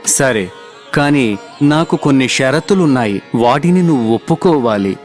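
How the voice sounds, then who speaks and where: A man's voice: medium pitch, lively intonation, measured pace, close to an everyday voice. A man playing a grave, kindly village sage in a children's cartoon story, over music. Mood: grave, instructing.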